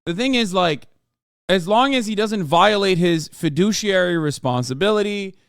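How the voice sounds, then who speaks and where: Guy streamer ranting and swearing over a video, kinda high voice, dry close mic. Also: expressive, moderate pace, a bit bright, clean tone, dry recording. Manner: blunt, profane, rambling. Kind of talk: casual commentary while gaming.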